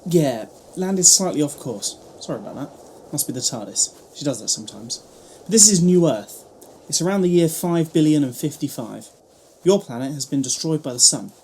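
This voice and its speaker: A man's voice: a little high, expressive, moderate pace, darkish tone, slight background noise. A man playing a breezy, cheeky alien time-traveller in a fan-made audio drama, teasing and nonchalant. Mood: casual, guiding.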